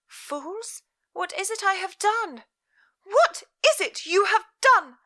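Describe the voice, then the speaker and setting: Lady narrator putting on an angry victorian mom, high shrill yelling voice, sharp edge. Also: animated, takes it slowly, exaggerated voice. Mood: furious. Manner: shrill, scolding, near shouting. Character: furious Victorian mother, middle-aged woman.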